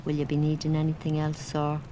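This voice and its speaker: A woman acting an old servant in an audio drama, telling grim folklore in an ominous, measured way. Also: sits low, completely flat delivery, warm tone, slight background noise, lightly acted. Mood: dark warning.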